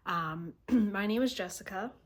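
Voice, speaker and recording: A woman vlogger telling a personal story to camera in a halting way, often pausing and clearing her throat. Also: medium pitch. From born in Russia, raised in the United States from age three.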